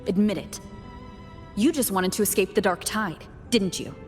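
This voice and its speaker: A woman's voice: mid-range voice, normal speed, close to an everyday voice. An actress playing a perceptive, confrontational woman in a video game, speaking in a firm, probing way. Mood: accusing. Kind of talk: dramatic game voice acting. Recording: long reverb, faint music behind.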